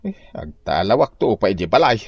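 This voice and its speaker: A stubborn old Ilocano husband, acted by a man, grumbling and whining in a very animated voice. The comic radio drama delivery has an Ilocano accent and a dry, close sound. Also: on the low side, a little fast, slightly rough, dry recording, muffled recording, exaggerated voice. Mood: complaining. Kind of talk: comedy radio drama acting.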